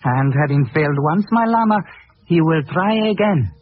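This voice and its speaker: A man's voice: on the high side, animated, normal speed, loud music behind the voice, close to an everyday voice. Guy acting courtly tibetan servant, very formal and humble, 1940s radio, tinny sound. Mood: earnest, embarrassed. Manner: formal, deferential, flowery phrasing. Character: devoted Tibetan manservant, courtly and proverb-quoting. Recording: tinny old radio recording, faint noise.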